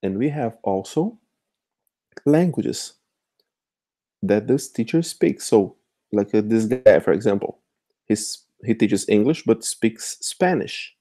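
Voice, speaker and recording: A man whose first language is Portuguese, talking through an online tutorial in a relaxed, patient way and often checking in with the listener. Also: on the low side, slow pace, long pauses. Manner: conversational, keeps checking in with "okay?". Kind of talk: screen-share tutorial walkthrough.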